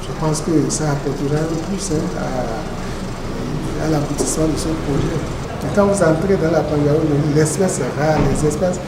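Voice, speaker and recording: A man's voice: fairly high pitch, normal speed. A man giving his unscripted view at a meeting. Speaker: meeting participant. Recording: distant echoey mic, vehicle-like noise.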